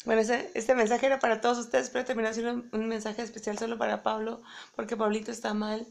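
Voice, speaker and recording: A woman in her thirties from Peru, chatting casually and softly to her phone, tired, with her voice going. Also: on the high side, flat delivery, fast, creaky. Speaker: pilgrim. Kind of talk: casual vlog. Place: hotel room, indoors. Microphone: phone camera at arm's length.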